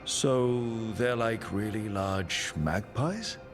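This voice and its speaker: Measured, inquisitive party leader; lowish voice, steady; game cutscene over music. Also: male voice, heavily acted. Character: curious adventurer leader, measured and probing. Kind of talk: video game cutscene dialogue.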